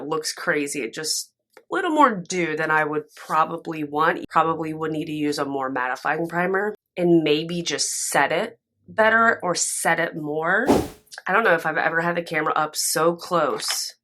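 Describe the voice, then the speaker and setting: A young woman reviewing makeup to camera at home, chatty and candid, her voice raspy and stuffy from a nap. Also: fairly low pitch. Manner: chatty, candid and expressive, with frequent fillers. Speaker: beauty vlogger. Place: home room with candle and plants, indoors. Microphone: camera in front, sometimes very close.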